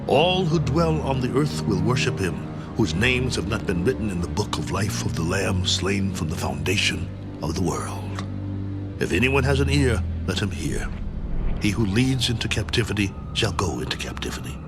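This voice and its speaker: A man narrating scripture as a drama, solemnly and with deliberate phrasing, over loud music. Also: lowish voice, lively intonation.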